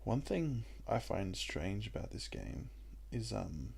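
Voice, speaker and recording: A young man with an Australian accent talks through a puzzle game in a relaxed, meandering way, full of ums and asides. His voice is low and croaky, with heavy vocal fry and a husky edge. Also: takes it slowly. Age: young adult. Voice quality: croaky, gravelly voice. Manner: rambling and relaxed, with many ums. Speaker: gaming YouTuber. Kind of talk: casual let's-play commentary.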